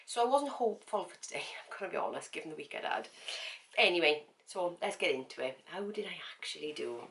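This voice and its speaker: A woman talking casually to camera in a vlog, with a croaky voice and a chatty, frank manner full of fillers and repeats. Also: on the low side, animated, fast talker, fairly bright tone. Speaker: vlogger.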